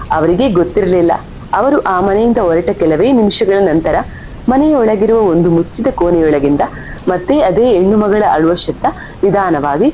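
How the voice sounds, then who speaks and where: A woman's voice: warm tone, poor audio. A woman reading scripted horror story narration as the storyteller. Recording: faint rumble and rain behind voice.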